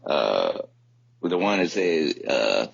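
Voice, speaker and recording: A man with a deep voice and a slightly rough edge talks casually as a podcast co-host. His speech is slangy and profane, and he often repeats phrases as he works out a point. The recording is a little dull and lo-fi. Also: big pitch swings, slow, no room sound. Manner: repeats and circles back on phrases. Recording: dull, lo-fi audio.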